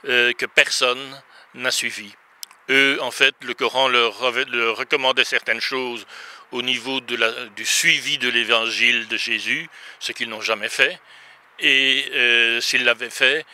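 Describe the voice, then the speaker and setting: A man's voice: medium pitch, sharp tone. A man from Belgium giving an unscripted religious message as an interview guest, earnest and a little hesitant in his phrasing.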